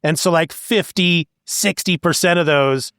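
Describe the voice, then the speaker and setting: Male podcast host, higher-pitched, casual or reading promos, recorded dry. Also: a little slow, fairly bright tone, no echo. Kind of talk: casual chat and scripted show promos.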